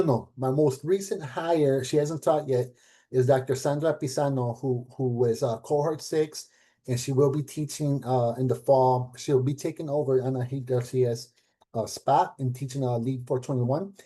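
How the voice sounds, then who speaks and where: A middle-aged man talks loosely to a room as a program director. His voice is warm and mellow, and he leans on fillers and rhetorical "right?" questions. He lights up when he quotes others. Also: medium pitch, unhurried. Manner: animated when quoting others. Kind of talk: casual talk at an event panel.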